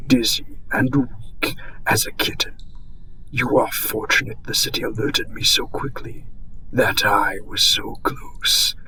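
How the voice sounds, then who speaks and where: Male actor as eldritch underworld captor: sharp, breathy whisper, hugely varied pitch, formal, soothing, possessive. Fantasy audio roleplay. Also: full character voice, poor audio. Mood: musing. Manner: formal, archaic wording, slow and soothing. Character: eldritch masked auditor of an underground city, calm, possessive captor.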